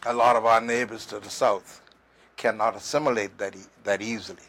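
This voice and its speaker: A man's voice: lowish voice, steady tone, brisk, a bit bright. A man, a guest historian explaining at length in a conversational interview, emphatic, with fillers and restarts. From Turks and Caicos Islands.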